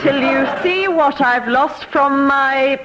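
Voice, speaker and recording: High-pitched, slow woman, noisy recording. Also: over a noisy crowd. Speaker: comedy panellist. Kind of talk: slow comic recitation of limerick lines. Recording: noisy old live radio recording.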